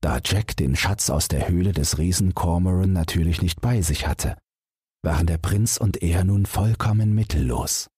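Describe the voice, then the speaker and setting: Guy narrating a bedtime fairy tale, deep and very husky, slow, dry recording. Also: a little mellow, no echo. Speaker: audiobook narrator.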